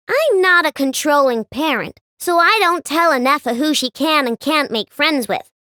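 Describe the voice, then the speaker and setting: A woman voicing an excitable, chatty young female inventor who builds robots. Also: very high voice, dry recording, clean studio sound, exaggerated voice. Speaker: game character voice. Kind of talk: recorded game voice lines.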